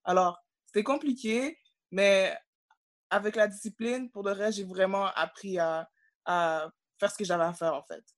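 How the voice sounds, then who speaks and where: A woman's voice: mid-range voice, moderate pace, no echo. Young Québécois woman, student guest, candid conversational delivery, dry close-miked sound over a video call. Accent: Québécois French. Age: young adult. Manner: candid, conversational, fillers like "tu sais".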